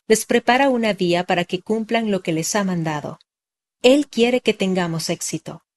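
A young adult woman narrating a personal testimony in a measured, earnest scripted read. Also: medium pitch, darkish tone.

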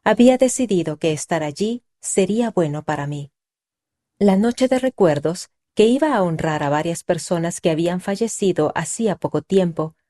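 A woman reading a first-person story aloud in a calm, measured, reflective way. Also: lowish voice. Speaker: reader. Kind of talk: scripted first-person narration.

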